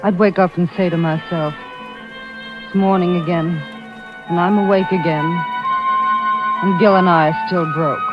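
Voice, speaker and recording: An anxious, suspicious wife played by a radio actress speaks in the confiding, probing way of 1940s mystery drama. The old recording is muffled, with loud music in it. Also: female voice, on the low side, lightly acted. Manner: confiding narration, probing questions in dialogue. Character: anxious, suspicious wife of a broke resort owner. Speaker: lead actress and first-person narrator.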